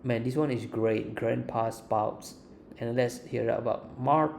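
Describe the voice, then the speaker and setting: A man presenting an informal software walkthrough as a tutorial host. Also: mid-range voice, slow, gravelly. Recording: faint background noise.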